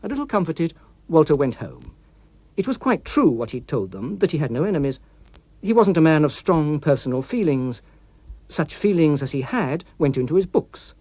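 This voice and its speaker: A man narrating an audiobook story in a precise, expressive, literary manner, recorded in a studio. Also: fairly high pitch, no echo. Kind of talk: scripted dramatic story narration.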